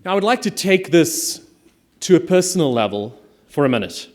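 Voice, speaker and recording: A middle-aged South African man, raised speaking Afrikaans, delivers a prepared keynote with a somewhat raised voice. He speaks in a measured, emphatic way, leaning on key words and pausing deliberately between phrases. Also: a little high, very animated. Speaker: conference speaker.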